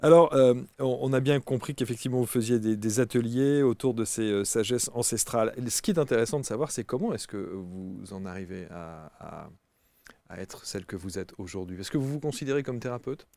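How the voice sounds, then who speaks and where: Friendly, dark-voiced male studio interviewer. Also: medium pitch, brisk, no room sound. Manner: friendly, chatty with many fillers.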